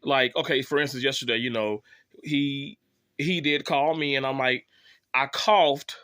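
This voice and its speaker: A man's voice: mid-range voice, a little slow, pushed and bright, dry recording, clean studio sound. A man in his thirties, sick with a cough, telling a rambling, exasperated story to his viewers with many fillers and restarts. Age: 30s. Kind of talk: casual story time monologue.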